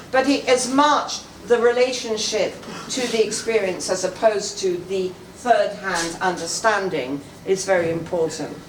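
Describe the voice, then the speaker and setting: A woman speaking on an art panel to an audience, blunt and digressive, with salty asides. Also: mid-range voice. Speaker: guest speaker, art critic. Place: gallery or club room with audience. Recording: echoey room, distant mic, background noise.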